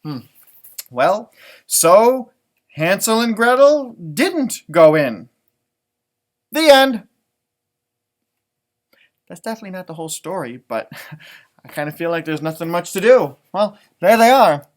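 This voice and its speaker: A man reads a picture book to young children with a very lively, swinging pitch. He takes his time, playfully wondering aloud and adding chatty asides. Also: measured pace, long pauses. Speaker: storytime read-aloud host, music teacher.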